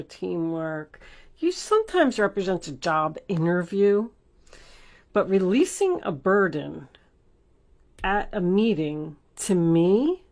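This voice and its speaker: A woman's voice: lowish voice, a little mellow. A woman reading tarot cards informally for the viewer, talking in a conversational, emphatic and reassuring way. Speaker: tarot reader, host of an online reading.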